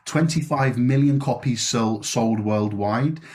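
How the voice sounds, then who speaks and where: A man's voice: mid-range voice, measured pace, a little mellow. A man co-hosting a casual music podcast, chatty and easygoing, reading off lists of facts and ending points with tag questions. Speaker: podcast co-host. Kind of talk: casual chat.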